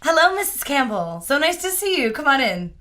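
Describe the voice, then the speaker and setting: A woman's voice: sits high, sing-song, a little fast, bright, forceful tone, full character voice. A woman playing a friendly, helpful neighbor in an audio drama, with a polite, conversational way of talking. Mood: welcoming, polite.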